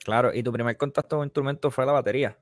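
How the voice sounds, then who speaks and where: A man from Puerto Rico hosting an interview podcast from his music studio, relaxed and slangy. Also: medium pitch, rapid-fire, no echo. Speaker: podcast host. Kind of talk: casual interview chat. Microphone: studio mic with headphones.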